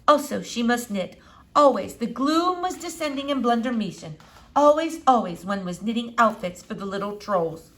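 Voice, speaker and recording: Woman acting elderly storybook lady, philosophical, wistful, emphatic, quaint formal phrasing, higher-pitched, highly expressive. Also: normal speed, lightly acted. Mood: gloomy reminiscing.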